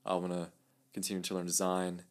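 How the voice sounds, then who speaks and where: A man's voice: low voice, monotone, fairly quick. A young man from Mexico co-hosting a podcast in casual chat, chatty and full of fillers, laughing often. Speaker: podcast co-host.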